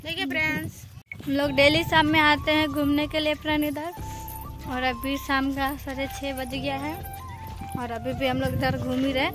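A young woman with a high, bright voice talks casually to her viewers while walking through village fields. She holds the phone herself, and loud background music plays under her chatter. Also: little pitch movement, moderate pace. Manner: chatty, keeps addressing viewers as friends. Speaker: vlogger. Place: outdoors in village fields at dusk. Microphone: phone camera held in hand.

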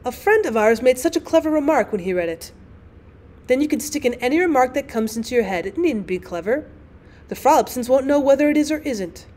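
A weary, tart wife from a classic storybook, read by a woman. Her fairly high voice carries wry, formal phrasing and practical impatience. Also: expressive, brisk, darkish tone. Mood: exasperated.

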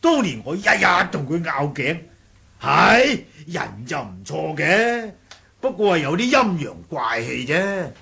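A brash, mocking eccentric from an old Cantonese martial-arts radio drama. His Hong Kong voice is gravelly and rather high, stretching phrases out with lively, teasing swings. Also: heavily acted. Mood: excited. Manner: blunt, teasing, theatrical, drawn-out phrases. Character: brash, sarcastic, laughing eccentric martial-sect man.

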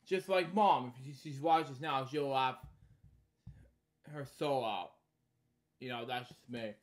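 A man in his thirties hosting a bedtime story series, reading a children's book aloud in short halting lines with casual, repetitive asides. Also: medium pitch. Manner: halting, repeating stock phrases between short read lines. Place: small indoor room at home.

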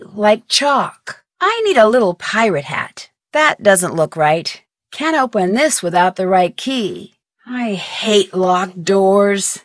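An actress voicing a curious young woman detective in a video game, talking to herself in a brisk, wry way. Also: fairly high pitch, no echo. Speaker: game protagonist. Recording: dry studio voice-over.

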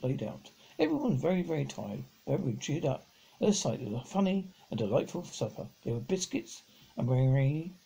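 A middle-aged man reads a children's novel aloud in a raspy, mellow voice. His pitch sits a little high and swings about freely. The delivery is halting, with stumbled and repeated words. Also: rough recording. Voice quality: husky, gravelly. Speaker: amateur audiobook reader. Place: living room at home, on a sofa.

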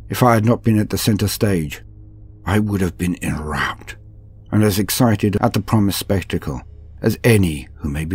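A man voicing a weary, haunted captive laborer from a grim sci-fi future, in brooding, measured, dramatic horror-story narration. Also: darkish tone. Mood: awed dread. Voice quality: low, husky. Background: music.

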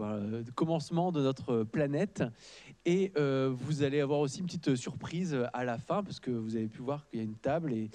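Mellow-voiced man introducing a lecture. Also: mid-range voice. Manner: hesitant, many fillers. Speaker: host of a lecture series. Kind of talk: formal introduction of a speaker.

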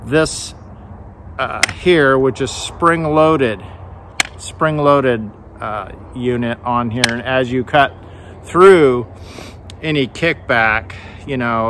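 A man's voice: medium pitch, bright, forceful tone, a little hiss or hum. An older man in a casual outdoor tool demo, talking in a friendly, rambling way with repeated words, recorded on a camera mic in an open field. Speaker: vlogger reviewing a tool.

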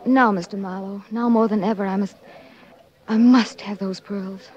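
An actress playing a lovelorn, pleading married woman in a 1940s radio melodrama, halting and earnest. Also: medium pitch, steady tone, darkish tone, lightly acted. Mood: troubled. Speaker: supporting actress. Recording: dull old 1940s radio recording.

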